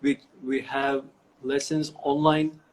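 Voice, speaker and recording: A man's voice: mid-range voice, flat delivery, slow, low-quality recording. A middle-aged man with a Turkish accent, answering interview questions over a video call, with many fillers and restarts. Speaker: guest. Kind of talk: online interview.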